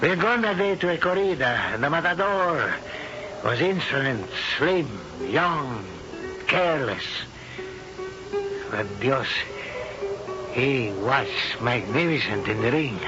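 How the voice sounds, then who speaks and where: An actor playing a brooding older nobleman, speaking slowly and with passion in a 1970s radio drama. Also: medium pitch, lively intonation. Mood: confessional. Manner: impassioned, slow and heavy. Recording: dull old radio broadcast with music.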